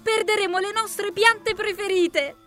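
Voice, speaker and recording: A woman voicing a bossy, excitable, self-assured little female ladybird in a children's bedtime story. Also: very high-pitched, fairly quick, faint music behind, pitched above the performer's own voice, heavily acted. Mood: whining panic.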